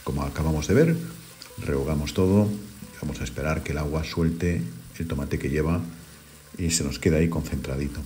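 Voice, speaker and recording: A middle-aged man, hosting a home cooking video and calmly talking viewers through each step. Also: very deep voice, a little fast, slight background noise. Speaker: cooking channel host. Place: home kitchen.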